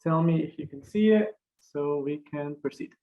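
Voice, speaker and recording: Hesitant young man on a muffled call. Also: fairly high pitch. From Morocco. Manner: halting, many uh fillers and restarts. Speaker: career fair speaker. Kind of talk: online career talk presentation.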